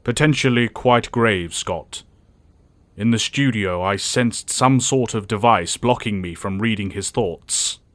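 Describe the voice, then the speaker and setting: A man playing a wise, grave older professor who speaks in a measured, formal way in an audio drama. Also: fairly low pitch, a bit bright, no room sound. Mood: calm, pensive.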